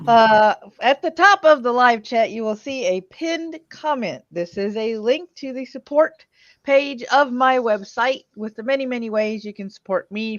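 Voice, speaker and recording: A woman's voice: fairly high pitch, dry recording. A woman hosting a livestream in a casual, chatty style. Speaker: livestream host. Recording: slightly dull close-miked stream audio.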